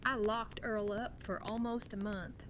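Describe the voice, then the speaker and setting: A woman's voice: mid-range voice, steady tone, measured pace, lightly acted. A woman playing a tender, resolute young widowed mother in an audio drama, speaking in an earnest, conversational way. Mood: serious, reflective. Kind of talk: fan audio drama dialogue. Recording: clear background noise and ambience.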